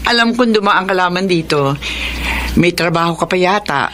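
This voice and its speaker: A woman's voice: on the low side, normal speed, fairly bright tone, natural voice. Woman acting a firm, peacemaking middle-aged mother. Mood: gently firm. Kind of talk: Filipino radio drama acting. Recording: noisy radio drama with ambience.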